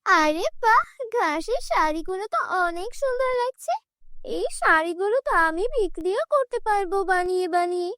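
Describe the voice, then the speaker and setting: A woman's voice: very high voice, lively intonation, moderate pace, a bit bright, no echo, studio quality. A woman voicing a poor, proud young village bride in a cartoon, plaintive and emphatic in manner. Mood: delighted.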